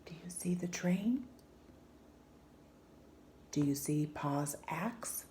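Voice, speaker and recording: A woman reading a children's picture book aloud in a gentle, hushed and expressive storytelling manner. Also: fairly low pitch, drawn-out words, slight background noise. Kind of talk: gentle scripted read-aloud narration.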